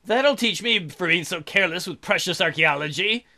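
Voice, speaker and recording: A man's voice: high voice, lively intonation, moderate pace, bright, forceful tone. A man hosting a let's play, reading a visual novel aloud and breaking in with irreverent, playful, mocking asides.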